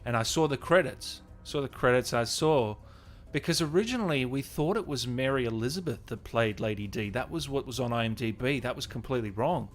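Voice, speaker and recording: A man's voice: medium pitch, animated, a little fast. A man hosting a gaming livestream, chatting casually and informally to his viewers in a chummy way. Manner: chummy, informal, addressing viewers as guys and brother. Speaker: host of a gaming channel livestream.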